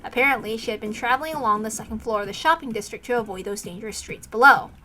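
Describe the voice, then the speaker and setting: High, bright woman narrating a story. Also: quick pace, a little hiss or hum. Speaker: streamer reading a visual novel aloud.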